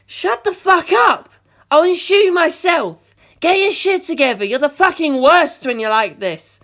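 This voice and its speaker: A woman voicing a short-tempered young male gunman who drawls and then yells in a raised voice. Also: on the high side, normal speed, pitched above the performer's own voice, natural voice. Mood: angry.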